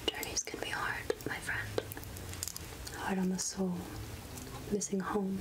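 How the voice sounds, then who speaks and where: A woman voicing a friendly, centuries-old witch of the woods in a soft-spoken ASMR roleplay, gentle and musing in a breathy whisper. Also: medium pitch, completely flat delivery, lightly acted, poor audio. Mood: gentle, helpful. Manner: slow, gentle, musing, archly addressing a mortal. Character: three-hundred-year-old witch of the woods, friendly, wryly curious.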